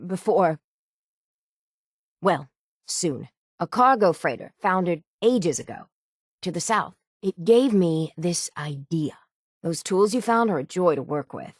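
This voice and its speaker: A gruff female harbor shipwright from a video game, speaks in a slightly low voice. She is stubborn and hard-bitten, with a folksy, salty way of putting things. The sound is dry, with no room around it. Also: lively intonation, takes it slowly, long pauses, no room sound, full character voice. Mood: gruff, brisk. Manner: salty, plainspoken, folksy nautical talk. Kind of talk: video game character dialogue.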